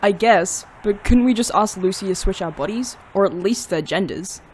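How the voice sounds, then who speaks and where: An actor playing a grumpy, sarcastic young demon, grumbling and deadpan in a fiction podcast. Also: mid-range voice, normal speed, a little hiss or hum, close to an everyday voice. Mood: sulky.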